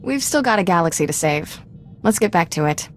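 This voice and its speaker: A woman's voice: fairly low pitch, lively intonation, brisk, soft background music, natural voice. A woman playing a brash, confident young Jedi companion in a video game, blunt, informal and self-assured. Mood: brash conviction. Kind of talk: video game character acting.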